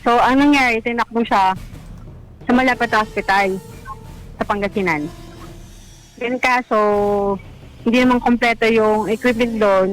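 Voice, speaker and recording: A woman's voice: on the high side, moderate pace, long pauses, rough recording. A woman with a Filipino accent recounting a personal story in a radio interview, conversational and a little hesitant, slipping in English words. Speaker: caller telling own love story. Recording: music behind the voice.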